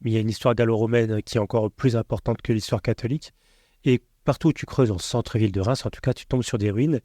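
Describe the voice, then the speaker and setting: A man's voice: medium pitch, steady tone, mellow, no room sound, studio quality. A man from the Paris region hosting a casual podcast, chatty and opinionated, often jumping in. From Paris region, France. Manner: chatty, opinionated, quick to interject. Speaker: podcast host.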